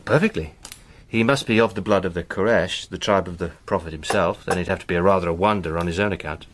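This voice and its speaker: An actor playing a cheerful, adventurous gentleman in a radio drama, breezy and full of old-fashioned slang. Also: sits low, fast talker, a little hiss or hum. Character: adventurous upper-class British gentleman, cheerful.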